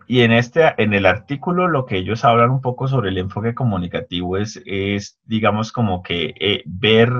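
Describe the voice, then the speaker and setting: A man co-hosting a casual talk, explaining an article in a measured way with hedging fillers. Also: on the low side, a bit bright. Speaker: co-host discussing an article. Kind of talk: casual podcast chat, explaining. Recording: dull lo-fi sound.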